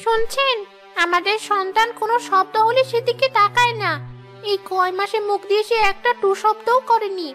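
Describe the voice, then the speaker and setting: A worried young cartoon mother, played by a woman with an extremely high, almost childlike voice. She pleads anxiously over faint music. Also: moderate pace, bright, forceful tone. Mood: worried, pleading.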